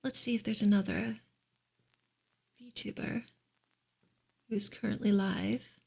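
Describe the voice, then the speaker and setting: A woman speaks in a soft ASMR manner, gentle and close to a whisper. Her voice is extremely breathy with a creaky edge, and her intonation stays quite flat at a medium pitch. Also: slow. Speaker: ASMR streamer and reader. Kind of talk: soft-spoken ASMR book reading and chat.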